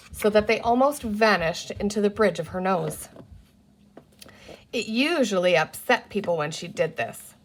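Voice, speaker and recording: A woman narrating a children's adventure story as an expressive read-aloud storyteller. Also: mid-range voice.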